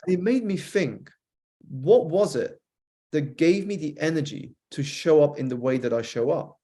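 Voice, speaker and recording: A man's voice: a little high, animated, moderate pace, mellow. British man telling his story. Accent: British, softened by years with an American spouse. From United Kingdom. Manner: fluent, reflective storytelling with frequent repeated starts. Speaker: author and guest. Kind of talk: podcast interview storytelling.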